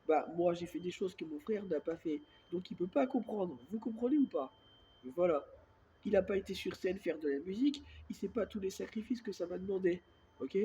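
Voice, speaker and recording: A somewhat high-voiced man with a stuffy head cold speaks quietly into a phone held close, in a rambling, repetitive monologue. A faint hiss sits under his voice. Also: low-quality recording. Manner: speaks softly on purpose; rambling, repeats filler words constantly. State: has a cold, congested and coughing. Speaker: self-filmed vlogger. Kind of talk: casual monologue to camera.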